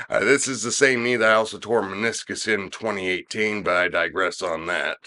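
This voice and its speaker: A man's voice: lowish voice, steady tone, normal speed, sharp tone. Stuffy-nosed man in casual chat. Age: middle-aged. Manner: rambling, with many restarts and fillers. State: stuffy nose, slightly nasal. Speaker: podcast co-host discussing wrestling.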